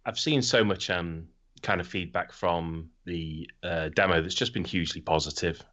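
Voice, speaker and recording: A man's voice: low-pitched, moderate pace, fairly bright tone, muffled recording. A middle-aged man hosting a casual podcast about video games, talking informally and swearing freely. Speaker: podcast host.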